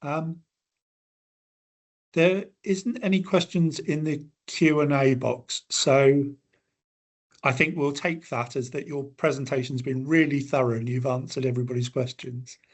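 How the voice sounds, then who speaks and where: A man hosting an online seminar, friendly and brisk as he thanks speakers and closes the session. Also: mid-range voice, steady tone. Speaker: webinar host. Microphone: video call.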